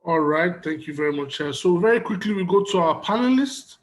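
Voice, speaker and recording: A man's voice: sits high, animated, brisk. A man with a Nigerian accent, moderating an online panel and introducing guests in courteous, formal phrases. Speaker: conference moderator. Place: online video conference.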